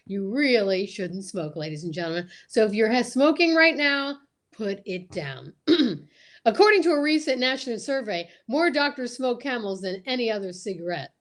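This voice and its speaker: A woman's voice: on the high side, a bit bright. A woman announcing an old-time radio show, with casual asides.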